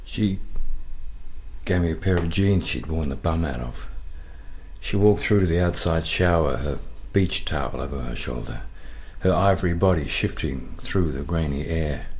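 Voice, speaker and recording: A man narrating a radio play as a middle-aged man looking back, slow and measured, with music behind him. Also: rumbling low, darkish tone, slightly rough, exaggerated voice. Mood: reflective. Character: grown man looking back, brooding and reflective. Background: music score.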